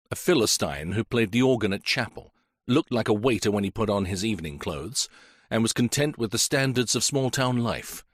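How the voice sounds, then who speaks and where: A male narrator reads a suspense novel with a steady, expressive delivery. His voice is on the low side but moves a lot in pitch, keeping the sentences alive. The sound is dry and close, with no room echo. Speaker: audiobook narrator. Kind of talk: scripted story narration.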